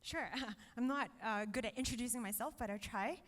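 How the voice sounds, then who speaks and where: A woman's voice: a little high, moderate pace, a bit bright. A woman in her thirties from Malaysia, an agency chief giving fluent on-stage interview answers with many "um"s and a tag "right?". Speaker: startup agency chief executive. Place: on stage in a hall. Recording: reverberant hall.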